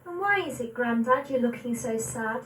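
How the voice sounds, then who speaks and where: Young woman as high-voiced, curious little granddaughter. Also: animated, moderate pace, a bit bright, echoey room, recorded from a distance, a little hiss or hum. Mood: concerned. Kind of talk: dramatic poetry recital.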